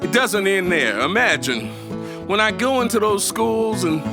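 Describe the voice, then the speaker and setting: A high-pitched man tells a story as a live performance, with guitar playing right behind his words. His delivery is animated and emphatic, leaning on dramatic pauses like a seasoned storyteller. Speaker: storyteller and musician. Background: acoustic guitar playing.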